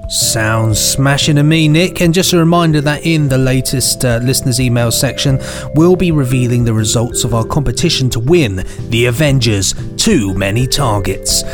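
A man's voice: medium pitch, normal speed, hardly any pauses. A British man co-hosting a podcast in casual, jokey, rambling banter. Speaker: podcast co-host. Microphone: headphones on, podcast microphone. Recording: music bed under the voice.

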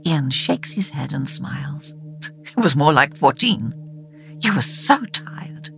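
A woman's voice: low-pitched, animated, slow, vocal fry, a bit bright, faint music behind. A woman reading a dramatic audiobook narration, telling a story in character.

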